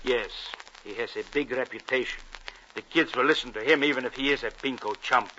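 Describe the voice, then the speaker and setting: An actor playing a cold, menacing Soviet agent. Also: male voice, medium pitch, fairly quick, pushed and bright, lightly acted. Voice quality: clipped, coldly commanding. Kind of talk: 1950s radio drama acting. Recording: dull old radio broadcast with hiss.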